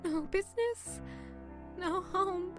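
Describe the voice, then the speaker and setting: An actress playing a grieving, self-blaming young servant woman in an emotive, halting audio drama. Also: very high voice, a bit bright, full character voice. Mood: anguished, self-loathing. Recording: music under the voice. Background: music.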